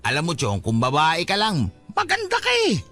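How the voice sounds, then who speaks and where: A man's voice: on the high side, huge pitch swings, normal speed, bright, forceful tone, soft background music, full character voice. An actor playing a teasing young man, a devoted mama's boy, in Filipino radio drama style.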